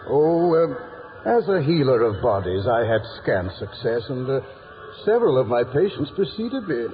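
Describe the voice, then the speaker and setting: A man's voice: medium pitch, very animated, normal speed, close to an everyday voice. Man playing a courtly coachman, tinny and reverberant. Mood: kindly, musing. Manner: pompous, ornate, kindly. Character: courtly, whimsical, learned old coachman. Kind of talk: 1950s theatrical radio acting. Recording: tinny, noisy, reverberant old radio recording.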